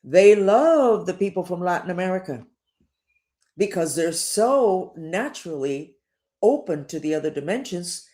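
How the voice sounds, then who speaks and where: Older lady rambling on, super animated pitch, emphatic, keeps circling back. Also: unhurried. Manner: digressive, emphatic, lively up-and-down delivery. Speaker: spiritual teacher. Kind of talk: rambling personal monologue.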